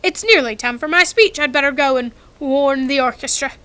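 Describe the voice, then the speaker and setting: Proud stiff ghost gentleman read by a woman, formal pompous manner, bright high voice, storybook reading. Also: big pitch swings, slow pace, dry recording, exaggerated voice.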